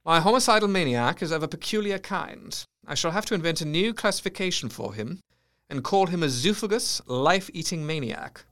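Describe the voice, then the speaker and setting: A man's voice: on the high side, animated, a little fast, fairly bright tone. A man playing an earnest Victorian asylum doctor who narrates his diary in a formal, brooding, intense way. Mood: fascinated, excited. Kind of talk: dramatic first-person diary narration.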